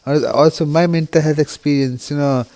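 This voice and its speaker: Uk guy vlogging, quiet and halting, lots of "you know", mellow voice. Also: fairly high pitch, fairly even intonation. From United Kingdom. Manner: subdued and quiet, grieving. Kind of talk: emotional personal monologue.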